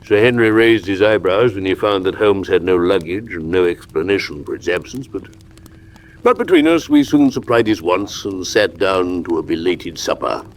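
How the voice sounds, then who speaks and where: An actor playing a sober, literate gentleman, narrating a radio drama in a measured, formal manner. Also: low-pitched, lively intonation. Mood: reflective.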